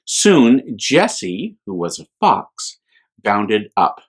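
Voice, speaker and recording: An American man, a priest hosting a children's storytime, reads aloud in a gentle, expressive, unhurried way. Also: fairly low pitch, breathy edge.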